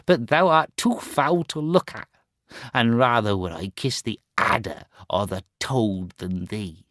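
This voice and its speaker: A man voicing a proud, cruel boy who later turns remorseful, crying out in a high, strained voice. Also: measured pace, a bit bright, dry recording, close to an everyday voice. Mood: scornful.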